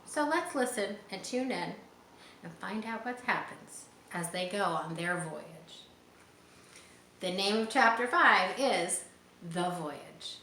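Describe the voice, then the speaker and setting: A woman reading a children's story aloud expressively, pausing to explain words for young listeners. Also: mid-range voice, lively intonation, bright, forceful tone. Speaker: audiobook reader. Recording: roomy echo, faint background noise.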